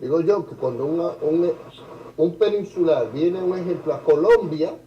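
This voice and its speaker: A man's voice: on the high side, normal speed, slight background noise. A man in a video-call debate who makes his points through examples and personal anecdotes. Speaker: debate participant. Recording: distant, dull, roomy video-call audio.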